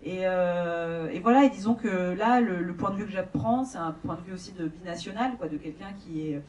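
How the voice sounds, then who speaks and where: A woman's voice: on the low side, normal speed, a little mellow, far from the mic. A young woman director from France answering audience questions after a screening, hesitant, with many fillers and restarts. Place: large cinema hall.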